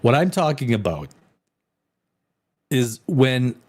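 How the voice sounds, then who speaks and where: Animated rambling man, lively pitch. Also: slow pace. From Canada. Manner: animated, rambling, restarts often, swings from loud to near whisper. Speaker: host. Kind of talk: casual talk show chat.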